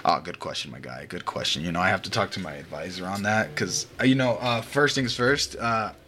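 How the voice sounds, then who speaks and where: A man's voice: deep voice, fairly quick, sharp tone, slight background noise. A young man hosting a casual podcast, rambling loosely, laughing often and swearing now and then. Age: young adult. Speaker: podcast host. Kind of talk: casual chat. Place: home room with a fan running. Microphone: home mic over a voice call.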